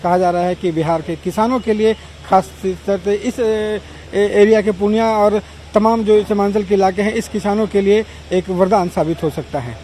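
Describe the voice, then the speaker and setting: A man's voice: high voice, steady tone, normal speed, warm tone. A man reporting for the news from the field, speaking to camera. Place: outdoors on location. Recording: faint outdoor ambient noise.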